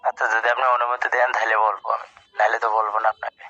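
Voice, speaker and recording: A man's voice: fairly low pitch, level tone, very fast, bright, forceful tone, muffled recording. A young man with a Bangladeshi accent chatting casually on the phone in a coaxing, persistent, repetitive way. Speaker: mason.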